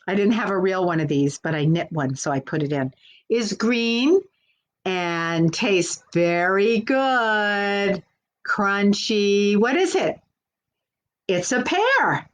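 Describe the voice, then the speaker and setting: A woman's voice: mid-range voice, lively intonation, takes it slowly, dull audio. A woman working as a children's librarian, hosting a live storytime in a simple, encouraging way and speaking directly to young children.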